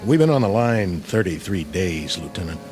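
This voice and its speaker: An actor playing a battle-hardened, skeptical army sergeant in a radio drama, plain-spoken, folksy and wry. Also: male voice, low-pitched, sing-song, normal speed, music in the background. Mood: guarded.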